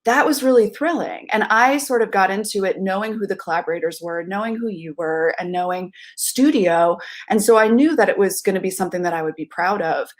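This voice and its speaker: A woman in her thirties reflects on her work in an interview. Her voice is mid-pitched and she speaks earnestly, leaning on key words for emphasis. She is on a video call from a room at home. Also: brisk, few pauses. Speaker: theatre director. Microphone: video call with headphones.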